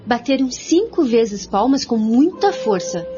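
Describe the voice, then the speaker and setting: A woman's voice: fairly high pitch, big pitch swings, measured pace. A woman narrating a scripted radio drama in a formal storytelling manner. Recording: muffled radio recording with music.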